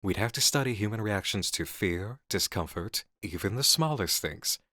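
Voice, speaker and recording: A man playing a calm, calculating game developer with measured, deliberate, articulate delivery in a scripted ASMR horror roleplay. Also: fairly low pitch, dry recording, studio quality. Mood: focused, eager. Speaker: voice actor in an audio roleplay.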